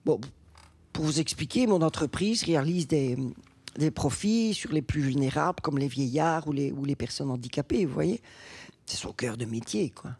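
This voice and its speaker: Low, gravelly, nervous working woman. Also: expressive, normal speed, darkish tone, exaggerated voice. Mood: hesitant, aggrieved. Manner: hesitant, stammering. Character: nervous, apologetic woman home care worker, quietly defiant. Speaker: home care worker in a support group. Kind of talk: naturalistic radio drama acting.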